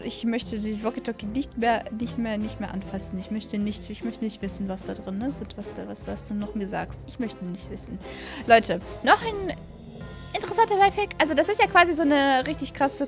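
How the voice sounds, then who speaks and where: A young adult woman giving casual, excitable gameplay commentary as a host, repeating phrases and stumbling over words, close on a studio mic. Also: fairly high pitch. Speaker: gaming video host. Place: small room at a gaming setup, indoors. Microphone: close studio mic on boom arm.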